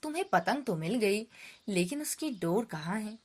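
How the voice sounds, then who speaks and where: A woman playing a kindly, wise old male woodcutter who gives gentle advice in a children's story. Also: medium pitch, animated, husky. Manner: gentle, slow, advising.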